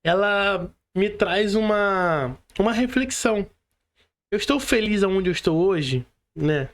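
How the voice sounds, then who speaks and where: A man's voice: sits high, animated, slow. A Brazilian man hosting a casual podcast interview, informal and often checking in with tag questions. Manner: informal, frequent tag questions seeking agreement. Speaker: podcast host interviewing a guest.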